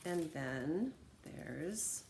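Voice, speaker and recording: An American woman with a slightly low voice talks to students like a teacher. Her delivery is conversational and encouraging, as in an informal lesson or demonstration. There is some faint noise behind her. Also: expressive, extremely slow, vocal fry, a little mellow. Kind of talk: informal read-aloud and craft demonstration for students.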